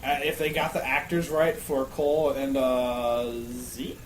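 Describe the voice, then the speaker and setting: A man thinking aloud in halting phrases during a casual podcast chat with friends. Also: mid-range voice, steady tone. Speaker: podcast panelist. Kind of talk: casual round-table chat among friends. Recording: roomy echo, faint background noise.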